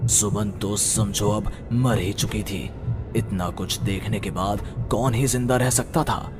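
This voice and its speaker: A male narrator reads a scripted horror tale. His voice sits a little low and moves at an unhurried, slow pace. Music plays clearly behind him. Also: steady tone.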